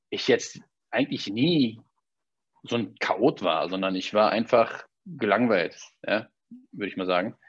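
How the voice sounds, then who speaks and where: A man, casually telling personal stories and often checking in with a tag question. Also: fairly low pitch. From Berlin, Germany. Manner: conversational, often tagging statements with a questioning "ne". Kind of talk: casual personal storytelling in conversation. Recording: dull, dry lo-fi recording.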